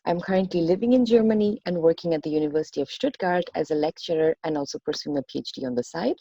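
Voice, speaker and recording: A woman's voice: low-pitched, quick pace, warm tone, dry recording. A woman in her thirties from Bangladesh, working as a university lecturer, introducing her course fluently. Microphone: earphone headset. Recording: dull, dry headset sound.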